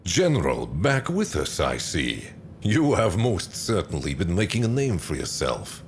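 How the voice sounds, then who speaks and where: An actor playing a stern, commanding male field marshal who gives curt, measured orders in a game cutscene. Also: deep voice, wildly swooping pitch, noisy background, exaggerated voice. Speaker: military commander giving orders. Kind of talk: video game cutscene acting.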